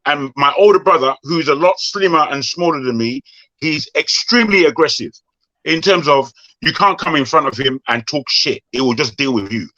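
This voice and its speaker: Reflective man, bright voice, dull recording. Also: on the high side. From Nigeria. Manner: reflective, halting, restarts. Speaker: host. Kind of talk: conversational discussion. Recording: dull lo-fi sound.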